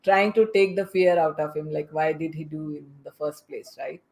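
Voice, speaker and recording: A woman hosting a podcast panel in a conversational way, speaking haltingly and repeating phrases. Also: deep voice, steady tone, darkish tone. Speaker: podcast host moderating a panel.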